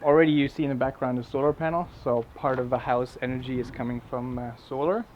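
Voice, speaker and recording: A man from Germany with a German accent, English his second language, giving a casual halting tour of his tiny house with many fillers. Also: medium pitch, fairly even intonation, lo-fi sound, slight background noise. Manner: halting, many fillers and restarts, laughs often. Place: small wooden tiny house, indoors and just outside.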